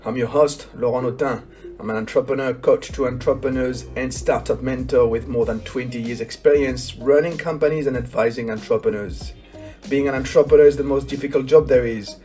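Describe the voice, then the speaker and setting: A man from France hosting a business podcast, reading a scripted intro and then asking relaxed interview questions. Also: mid-range voice, brisk, faint music behind. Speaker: podcast host.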